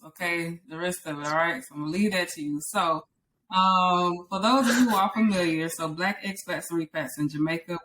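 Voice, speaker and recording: A woman with a fairly low voice hosts a casual interview. She is chatty and welcoming in the way she talks. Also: a bit bright, low-quality recording. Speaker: interview host.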